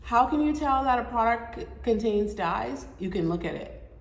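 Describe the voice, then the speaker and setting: A woman's voice: fairly high pitch, lively intonation, moderate pace, vocal fry. A young adult woman hosting her own vlog, sounding nasal from a cold, chatting casually as she explains hair care step by step. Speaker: show host. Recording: echoey room with long reverb.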